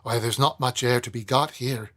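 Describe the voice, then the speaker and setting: A man voicing an uneasy young male lodger with a put-on accent and a gruff, blunt manner. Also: medium pitch, steady tone, normal speed, fairly bright tone, lightly acted.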